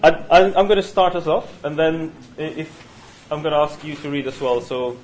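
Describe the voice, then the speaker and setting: A man with a Norwegian accent leading a university study session, talking in a halting way with many fillers and restarts. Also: fairly high pitch, a little hiss or hum, low-quality recording. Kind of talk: university seminar lecture. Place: university room. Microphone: single room mic.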